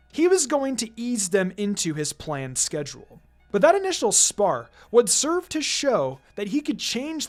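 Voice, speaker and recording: A narrator reading fan fiction aloud in an expressive, scripted storytelling style. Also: mid-range voice. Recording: background music under the voice. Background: music.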